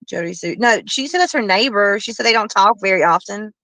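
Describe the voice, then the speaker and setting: Soft-spoken agreeable woman, dry sound. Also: mid-range voice, animated, a little fast, no echo. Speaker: panel guest. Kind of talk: casual livestream chat.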